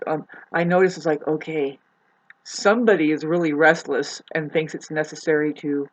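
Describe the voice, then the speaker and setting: Husky-voiced woman, rambling and halting. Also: sits low, steady tone, poor audio. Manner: meandering, halting, trailing off mid-thought. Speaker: vlogger. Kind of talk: rambling spoken monologue, casual chat.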